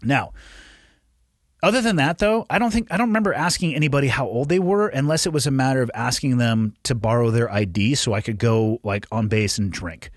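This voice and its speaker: A man's voice: medium pitch, brisk, no echo, clean studio sound. A man, hosting a podcast and giving blunt, profane advice while reading listener questions. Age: 40s. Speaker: podcast host. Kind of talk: solo Q&A advice segment.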